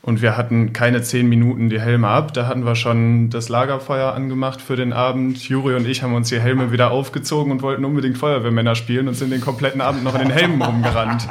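A man's voice: medium pitch, level tone, quick pace, few pauses. A young man casually sharing anecdotes as a podcast guest. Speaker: podcast guest, grown-up son.

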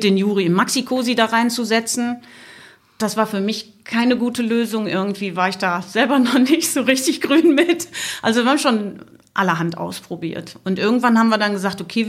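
A middle-aged woman speaks as a podcast guest, informally looking back. Her voice sits at an average pitch for a woman.

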